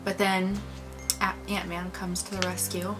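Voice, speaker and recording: A woman's voice: fairly low pitch, flat delivery, measured pace, fairly bright tone, soft background music. A woman co-hosting a casual movie review, talking informally and enthusiastically with slang.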